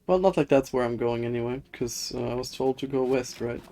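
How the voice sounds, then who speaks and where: A man's voice: mid-range voice, brisk, dark tone, slight background noise. A man giving casual, rambling live commentary on a video game, thinking aloud in a self-deprecating way. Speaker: game streamer.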